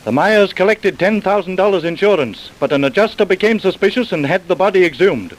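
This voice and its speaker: A man's voice: high voice, expressive, a little fast, low-quality recording. A man giving formal, dramatic scripted narration for an old-time radio crime drama.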